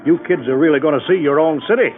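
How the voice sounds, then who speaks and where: An actor playing a boisterous, joke-loving American out-of-towner in a mid-century radio comedy-drama, hearty and gabby. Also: male voice, a little high, quick pace, soft background music, natural voice. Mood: jovial, eager. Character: boisterous, joke-loving out-of-town male visitor, hearty and tireless. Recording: tinny band-limited old radio broadcast.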